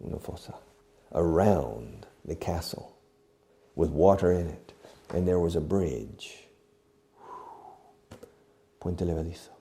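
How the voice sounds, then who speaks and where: A man teaching a beginner English lesson, explaining slowly and acting out each phrase, with short glosses in another language. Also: sits low, warm tone. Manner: slow, deliberate, demonstrative. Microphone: video recording.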